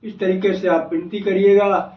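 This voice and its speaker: A man hosting as an astrologer, giving devotional remedy instructions in a deliberate, phrase-by-phrase way. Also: high-pitched, level tone. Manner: deliberate, phrase-by-phrase, repetitive listing. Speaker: astrologer host. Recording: echoey, noisy, dull lo-fi, distant mic.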